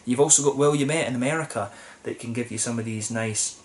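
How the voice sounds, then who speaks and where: A man's voice: medium pitch, fast, slight background noise. A young man talking to camera at a table in a small room, reviewing a beer in a thoughtful, rambling way with many ums and restarts. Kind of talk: casual solo review to camera. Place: small plain room indoors, seated at a table.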